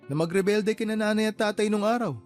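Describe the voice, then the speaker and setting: A man's voice: sits high, fast talker, soft background music, natural voice. A man playing a sensible, frank younger brother who chides in a Filipino radio drama. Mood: reproving. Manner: frank, chiding, matter-of-fact.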